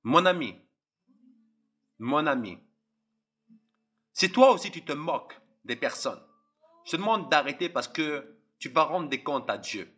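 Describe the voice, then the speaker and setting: A young man from Algeria delivers a fervent testimony, circling back on his phrases and addressing the listener head-on. His voice sits somewhat high, with a tense, husky edge. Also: lively intonation, a little slow, pauses often, a bit bright. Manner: impassioned, repetitive, addresses listeners directly. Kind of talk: preaching testimony.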